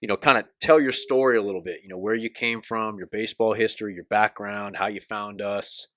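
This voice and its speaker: A man hosting a casual podcast, asking questions in a loose, laid-back manner. His voice is low and easy. The sound is dry and close to the mic. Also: fairly even intonation, a little fast, fairly bright tone, dry recording. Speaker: podcast host.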